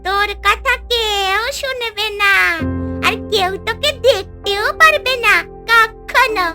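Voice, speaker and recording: A woman's voice: very high voice, slow. A woman playing a scheming female ghost who longs for married life, theatrical and gloating in a fairy-tale cartoon. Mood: gloating menace. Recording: music behind the voice.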